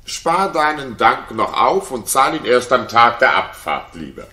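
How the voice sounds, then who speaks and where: A man's voice: medium pitch, sing-song, unhurried, pushed and bright. An actor playing a possessive, brooding king in a 1960s German radio drama, with a terse, commanding manner. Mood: insistent.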